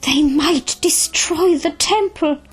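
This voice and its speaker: A woman's voice: high-pitched, slow pace, pitched above the performer's own voice. A woman voicing a frightened nun in an audio drama, urgent and worried. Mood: fearful, alarmed. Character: anxious, frightened Buddhist nun, female.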